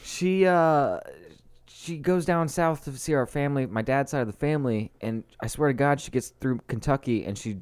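A man with a Midwestern accent co-hosting a casual podcast, telling stories in an animated, playful way. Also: medium pitch, moderate pace, clear, resonant tone, no room sound. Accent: Midwestern American. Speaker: podcast co-host.

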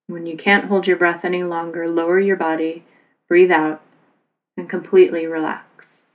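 A woman yoga instructor leading a guided relaxation meditation in a calm, soothing, unhurried manner. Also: lowish voice, narrow, tinny audio.

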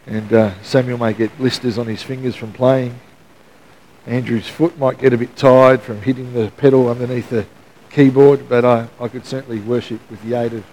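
A man preaching a sermon in a church with a loud voice, in a casual, joking manner with frequent restarts. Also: medium pitch, low-quality recording. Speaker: pastor. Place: church hall.

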